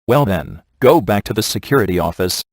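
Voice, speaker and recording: An actor playing a sardonic, laid-back male ghost hunter in a video game, delivering wry scripted quips in a casual deadpan manner. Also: lowish voice, a little fast, dry recording, studio quality. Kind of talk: scripted game dialogue, dry wisecracks.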